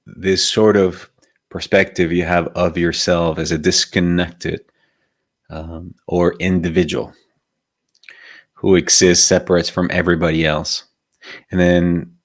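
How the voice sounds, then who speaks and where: Guy, really low voice, dry close sound, chatty spiritual teacher asking "right?" a lot. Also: dry recording. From Venezuela. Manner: reflective, asks rhetorical questions, often adds "right?". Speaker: meditation teacher hosting a live stream.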